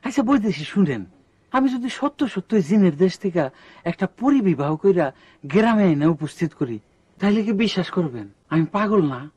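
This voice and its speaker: Man playing a rambling half-mad Bangladeshi villager, higher-pitched. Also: sing-song, normal speed, darkish tone, a little hiss or hum. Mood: playful. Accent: rural Bangladeshi Bengali. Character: good-natured half-mad village man who talks of djinns. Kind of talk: comic TV drama dialogue.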